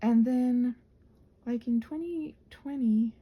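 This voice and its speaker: A soft-spoken woman in a casual, rambling chat while she crafts, meandering and interrupting herself as she murmurs along. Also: on the high side, slow, slightly rough, muffled recording. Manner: meandering, digressive, self-interrupting. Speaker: craft vlogger and audio creator.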